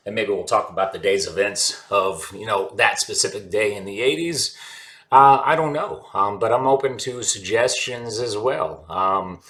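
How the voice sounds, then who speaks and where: A man's voice: on the low side, fairly bright tone. A man in his forties hosting a casual vlog, talking in a rambling way with many fillers and restarts. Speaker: channel host. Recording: echoey room with faint music. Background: faint background music.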